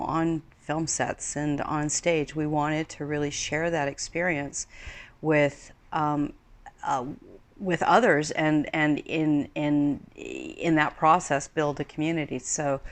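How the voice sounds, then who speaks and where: Slow, low-voiced Swiss-born woman. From Switzerland. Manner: thoughtful, searching for words. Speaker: actress and acting studio co-founder. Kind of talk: reflective interview answers.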